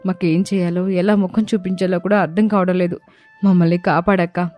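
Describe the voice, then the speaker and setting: A woman's voice: on the low side, flat delivery, a little fast, lo-fi sound, soft background music, natural voice. A woman voicing a plaintive young daughter-in-law in a moral family story. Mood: remorseful, worried. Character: proud young daughter-in-law, complaining then remorseful.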